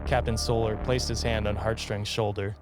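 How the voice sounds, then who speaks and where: A man's voice: on the low side, little pitch movement, normal speed. A man, a podcast host, giving a dramatic narration of a story. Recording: loud background music under the voice.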